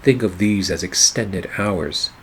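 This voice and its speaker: An actor playing a hardboiled, wisecracking private detective, narrating a 1940s noir radio play in a deadpan, wry way. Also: male voice, low voice, slow, slight background noise, low-quality recording. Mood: dry, brooding.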